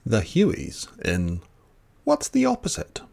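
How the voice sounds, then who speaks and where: A man reading a children's picture book aloud as narrator, in an expressive, measured storytelling delivery. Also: on the low side, vocal fry.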